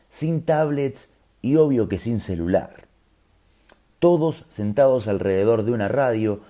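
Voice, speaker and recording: A man's voice: lowish voice, warm tone. A man with a Rioplatense Argentine accent tells a scripted story in a conversational, explanatory way, with colloquial asides. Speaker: storyteller host.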